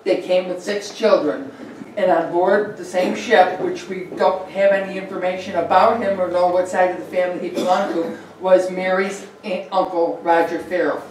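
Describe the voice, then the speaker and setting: A woman's voice: on the low side, steady tone, moderate pace, a little mellow, a little hiss or hum. A woman giving a chatty local history talk over slides, with fillers and asides. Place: meeting room with projector. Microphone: far room mic. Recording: very echoey room, distant mic.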